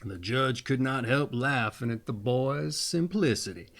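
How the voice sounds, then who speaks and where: Slow, swooping man reading a fairy tale. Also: mid-range voice, lively intonation, a bit bright. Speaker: storyteller reading aloud.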